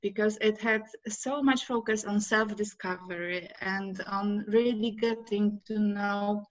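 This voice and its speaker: A middle-aged woman with a Polish accent, speaking English as a second language, answering interview questions on a video call with halting speech and many fillers. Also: mid-range voice, steady tone. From Poland. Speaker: course graduate, interview guest. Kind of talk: casual interview chat. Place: home room, video call. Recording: dull lo-fi video call audio.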